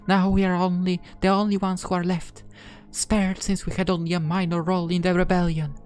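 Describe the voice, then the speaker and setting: A woman voicing a friendly, eager high school girl from a visual novel, in an anime style. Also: little pitch movement, a little fast, a little mellow, clear, resonant tone, soft background music, natural voice. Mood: hushed, confiding.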